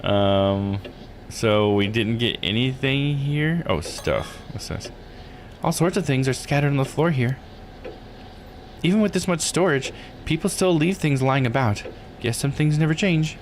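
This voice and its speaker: A fairly low-voiced man with a very lively, swooping delivery reads game text aloud and thinks through his next move. He sounds casual, like a streamer, with clear noise behind him. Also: a little slow. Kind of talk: live visual novel playthrough, reading text aloud with casual commentary.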